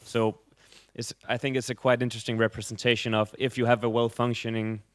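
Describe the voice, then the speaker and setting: A man moderating a conference panel, brisk and conversational. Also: medium pitch, level tone, dry recording. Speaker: session moderator.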